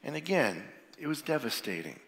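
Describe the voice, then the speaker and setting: A man's voice: on the high side, big pitch swings, moderate pace, fairly bright tone. A male pastor getting over a cold, sounding a little froggy, giving an informal sermon and prayer with frequent fillers. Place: church sanctuary. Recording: long reverb of a large hall.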